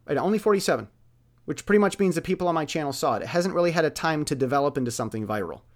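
A man speaking in a casual, rambling monologue, excitably repeating words and phrases for emphasis. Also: fairly high pitch, very fast. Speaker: musician with an online channel.

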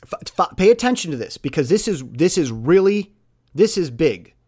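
Male, higher-than-average pitch, loose rambling solo talk, excitable delivery with emphatic repetition. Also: moderate pace. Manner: repeats words and phrases emphatically, excitable. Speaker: musician with an online channel. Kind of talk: casual rambling monologue.

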